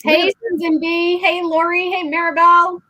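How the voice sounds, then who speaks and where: A woman co-hosting a live crochet show over a video call, chatting in an unhurried, giggly way and greeting viewers. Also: extremely high pitch, level tone, sharp tone. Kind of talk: casual chat on a livestream.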